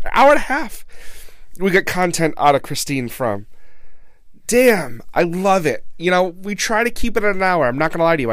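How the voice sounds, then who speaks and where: A man's voice: fairly high pitch, no echo. Casual chatty male podcast host. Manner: rambling, filler-heavy, laughing easily. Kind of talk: casual interview chat and outro.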